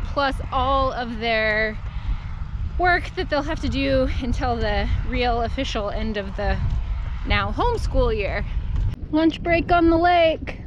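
A woman in her thirties vlogs from a ranch by a lake, talking casually and poking fun at herself. Her voice sits high and her delivery is unhurried. The action-camera audio is noisy with outdoor wind. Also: muffled recording. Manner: chatty, self-deprecating, talks to camera while working. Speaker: ranch vlogger. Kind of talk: casual vlog narration. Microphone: action camera on her person.

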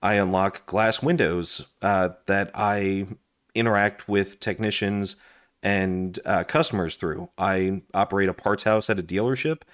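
Raspy, hoarse man reviewing gear. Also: deep voice, measured pace. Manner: chatty, rambling, with frequent fillers and restarts. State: hoarse, losing his voice. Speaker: gear review channel host. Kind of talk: casual product review. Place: indoors at a desk. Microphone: overhead camera on desk, hands only.

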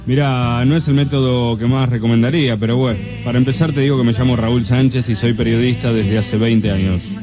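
A mid-pitched Argentine newsman played by a man, brusque and matter-of-fact, with little patience. Radio comedy acting over clear background music. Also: fairly even intonation, fairly quick, few pauses, lightly acted. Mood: businesslike. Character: brusque, easily fed-up middle-aged male journalist.

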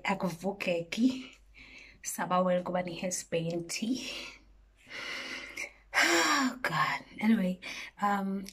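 A woman's voice: fairly low pitch, breathy edge. Chatty young woman with an Eswatini accent. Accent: Eswatini English. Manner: chatty, drops to near-whispers then bursts into loud exclamations. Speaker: vlogger. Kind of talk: casual vlog chat. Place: home indoors and cold street outdoors. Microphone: phone front camera at arm's length.

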